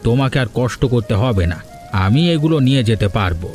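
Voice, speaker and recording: A man's voice: mid-range voice, lively intonation, fairly quick, a little mellow, soft background music, lightly acted. Kindly village headman played by a man. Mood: benevolent. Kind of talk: Bengali fairy-tale cartoon dialogue.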